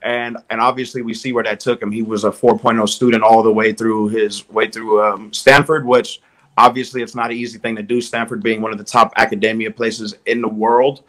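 A man's voice: on the low side, flat delivery, a little fast, fairly bright tone, poor audio. A man in his thirties from Southern California talks as a guest on a video call. He sounds upbeat and friendly. He often repeats words while he gathers his thoughts. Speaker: guest, strength and conditioning coach. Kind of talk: casual video call interview.

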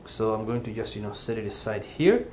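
A man giving a casual unboxing and product review commentary in a halting, hesitant way. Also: fairly low pitch, animated. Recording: clear background room noise.

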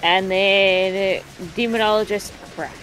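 A woman's voice: mid-range voice, steady tone, slow, a bit bright, low-quality recording. A woman chatting casually as a guest on a game stream. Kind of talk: casual chat. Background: video game music and effects.

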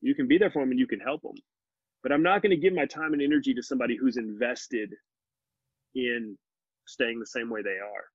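Husky forties man on a video call. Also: mid-range voice, brisk, no room sound. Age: 40s. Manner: earnest, animated, gestures while talking. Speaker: podcast guest. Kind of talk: casual conversational interview. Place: home living room with bookshelves. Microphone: video call, laptop mic. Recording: muffled dry video call audio.

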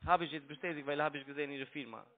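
High-voiced foreign man on a tinny phone. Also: racing. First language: not German. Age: young adult. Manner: broken German, insistent, repetitive. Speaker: phone scam caller. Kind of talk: argumentative phone conversation. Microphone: telephone. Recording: tinny, narrow-band phone line.

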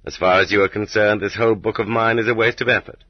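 A man narrating an audiobook in a measured, dramatic way with rhetorical emphasis, on a dull cassette recording. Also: lowish voice, fairly even intonation, slightly rough, no echo.

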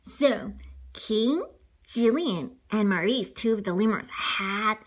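A woman's voice: medium pitch, slow. A woman reading a children's story aloud in a playful, exclamatory way, with ad-libs along the way.

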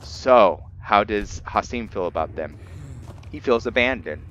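A man giving casual, halting gameplay commentary with roleplay narration, thinking aloud as he goes. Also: on the low side, lively intonation, fairly bright tone. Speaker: gameplay video host. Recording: dull lo-fi mic, noisy background.

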